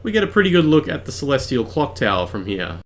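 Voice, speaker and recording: Male video essayist, calm scripted narration, explains clearly, medium pitch. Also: fast. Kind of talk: scripted game commentary voice-over.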